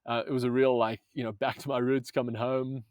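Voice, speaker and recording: A man's voice: mid-range voice, flat delivery, fairly quick, a little mellow, no echo. Earnest rambling man, dry sound. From Zambia. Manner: earnest, rambling, many restarts, keeps saying "man". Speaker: movement coach guest. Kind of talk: casual podcast conversation.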